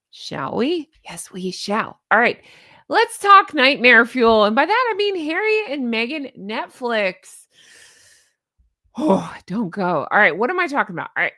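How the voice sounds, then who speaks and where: A woman's voice: on the high side, bright, forceful tone, no room sound. A woman in her thirties with a Southern US accent, hosting a chatty, sarcastic commentary vlog from a home studio mic. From American South. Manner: chatty, sarcastic, laughs often. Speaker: YouTube commentary host. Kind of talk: casual reaction vlog. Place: home studio with neon lights. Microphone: studio mic on boom arm.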